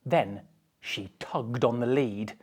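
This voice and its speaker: A woman's voice: a bass voice, takes it slowly, darkish tone, breathy edge. A woman reading a children's picture book aloud with theatrical, dramatic emphasis. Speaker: storybook reader.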